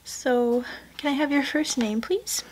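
Soft-spoken young woman, notably high voice. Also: a little slow, slight background noise, low-quality recording. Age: young adult. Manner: soft-spoken, polite customer service. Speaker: ASMR creator playing a portrait painter. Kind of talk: ASMR personal-attention roleplay. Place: small indoor craft room.

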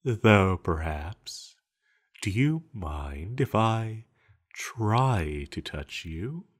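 Man voicing a scheming exiled male villain, low pitch, unhurried drawn-out delivery, teasing, theatrical, audio drama. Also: big pitch swings, exaggerated voice. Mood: sly, calculating. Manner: slow, teasing, theatrical, with lingering pauses. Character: banished male sorcerer villain, sly, seductive, scheming. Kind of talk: scripted audio roleplay, addressed to the listener.

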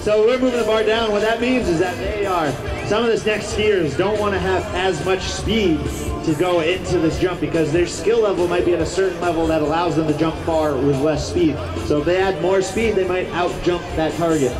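High-pitched male sports commentator, music behind. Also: normal speed. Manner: explanatory, encouraging the crowd. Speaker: ski jumping event commentator. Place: outdoor ski jump event.

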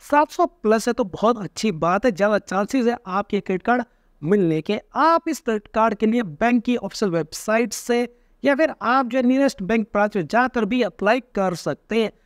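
A man in his thirties explaining card benefits straight to camera, animated and gesturing constantly, recorded on a lapel mic in a small room. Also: sits high, normal speed, no echo, studio quality. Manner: animated, gesturing constantly, addresses viewers as friends. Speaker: personal finance YouTuber. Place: small indoor room with a floor lamp.

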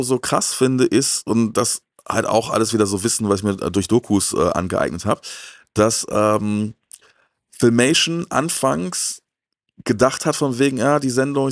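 A man's voice: medium pitch, vocal fry, no room sound. Effusive middle-aged man, dry close sound. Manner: effusive, talkative, repeats words for emphasis. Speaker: show host. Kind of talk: casual enthusiastic chat. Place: indoor media collection room, seated on sofa. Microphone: handheld microphone close to mouth.

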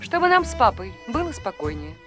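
An actress playing a brisk, decisive mother in a cartoon. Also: fairly high pitch, big pitch swings, over music. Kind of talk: cartoon voice acting.

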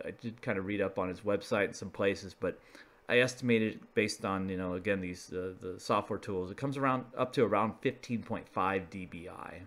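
A man's voice: on the low side, a bit bright. A man presenting a hobbyist explainer in a casual, unscripted, conversational way, feeling his way through phrases as he talks over slides. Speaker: video presenter, radio hobbyist.

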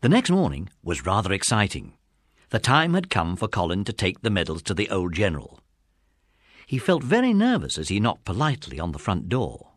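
A man's voice: low voice, wildly swooping pitch, a little fast, no room sound. A British man narrating a scripted story for children.

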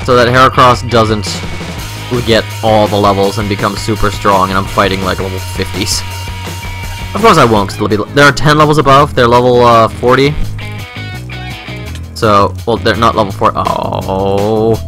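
A man's voice: lowish voice, moderate pace, music in the background. A man giving casual, informal let's-play commentary, rambling and thinking aloud into a close microphone. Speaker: gaming video host.

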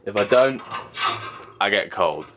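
A man's voice: fairly low pitch, lively intonation, unhurried, background noise. Chatty young man vlogging from a cabin. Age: young adult. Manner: chatty, self-deprecating, explains as he goes. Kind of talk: casual vlog narration. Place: snowy mountain cabin, indoors and out. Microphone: handheld camera at arm's length.

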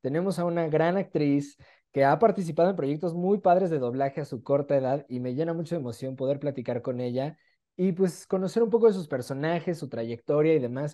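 A man's voice: fairly high pitch, warm tone. A man in his twenties with a Mexican accent, interviewing casually and enthusiastically over a video call. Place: small room at home, on a video call.

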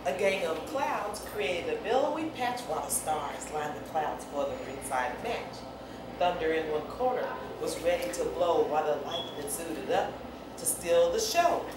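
A middle-aged woman reading and chatting to children in an expressive, playful, teasing way, like an author sharing her poem. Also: low-pitched, normal speed. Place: bare indoor room with office chairs. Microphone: far camera microphone. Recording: echoey room, far mic, noisy.

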